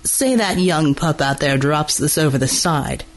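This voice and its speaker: A man's voice: on the high side, measured pace. A man voicing an unflappable, condescending military official with a brusque, authoritative manner, as audiobook dialogue. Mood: needling.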